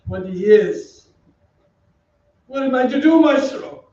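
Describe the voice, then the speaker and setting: A man's voice: very high-pitched, animated, slow pace, mellow, full character voice. A man playing a timid, anxious aging violinist in a radio drama, hesitant, deferential and apologetic in his speech. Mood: dejected. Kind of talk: old-time radio drama acting. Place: theatre stage, live reading. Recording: echoey stage, distant mic, muffled, hiss.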